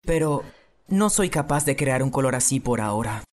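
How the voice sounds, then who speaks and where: A man with a Latin American accent voicing a gentle, sincere teenage boy painter in an anime fan dub. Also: medium pitch, animated, moderate pace, natural voice. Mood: gentle, wistful.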